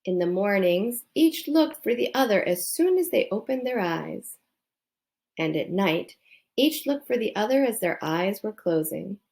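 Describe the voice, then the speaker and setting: A woman's voice: medium pitch, lively intonation, a little slow, creaky, a little mellow. A woman reading a children's bedtime story aloud. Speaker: storybook reader.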